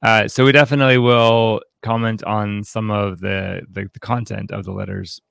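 A man hosting a podcast, chatting casually about books in an unscripted, halting way as he thinks aloud. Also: fairly low pitch, flat delivery, vocal fry, fairly bright tone, clean tone, no room sound, clean studio sound. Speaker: podcast host. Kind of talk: casual unscripted book discussion.

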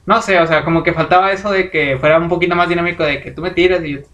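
Young mexican guy rambling in slang, fairly high voice. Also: fairly even intonation, very fast, a bit bright. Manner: loose rambling slang, hedging. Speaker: podcast co-host. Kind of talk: casual chat about rap battles.

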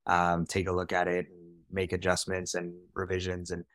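A man in his twenties, a podcast guest, telling his story in a casual, quick way, often adding "like" and "right?". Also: sits low, monotone, fairly bright tone, dry recording. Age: 20s. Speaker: podcast guest, real estate director. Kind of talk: conversational storytelling.

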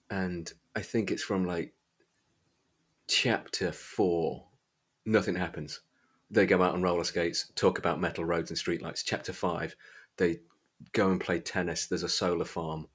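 A man hosting a podcast, talking casually about books in a hesitant, halting way. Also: low voice, steady tone. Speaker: podcast host. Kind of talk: casual book discussion chat.

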